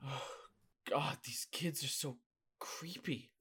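A woman's voice: on the low side, sing-song, measured pace, very noisy. A woman playing a haunted, frightened and snappish paranormal investigator in an audio horror drama.

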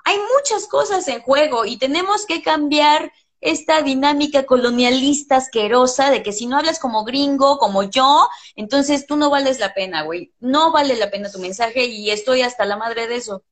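A woman's voice: high-pitched, moderate pace. A woman, an English teacher talking in a casual interview, blunt and passionate, swearing freely.

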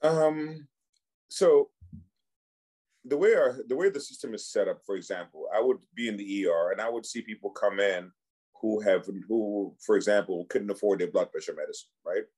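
Low-voiced man who talks with frequent restarts. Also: a little mellow, breathy edge. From Cameroon. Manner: halting, many restarts and repeated words. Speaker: physician and clinic founder, guest. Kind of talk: casual podcast conversation.

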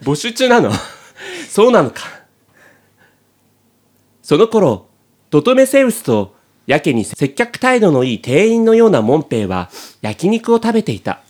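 Animated male radio drama narrator. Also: on the high side, normal speed.

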